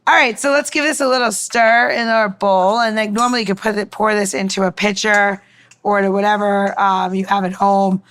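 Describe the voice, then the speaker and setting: A woman's voice: mid-range voice, fairly even intonation, normal speed, a bit bright, a little hiss or hum. A woman mixologist giving a casual, informal demonstration, chatting and explaining as she goes. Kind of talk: casual cooking-style demonstration.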